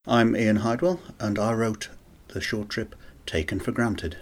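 Very low-voiced male writer, thoughtful. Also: a little slow, slight background noise. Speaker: short story writer. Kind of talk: interview talk about writing.

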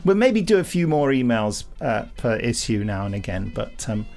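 A man's voice: mid-range voice, very animated, normal speed, gravelly, darkish tone. British man rambling jokily over music. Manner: jokey, rambling, self-mocking. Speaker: podcast host. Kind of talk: casual chat.

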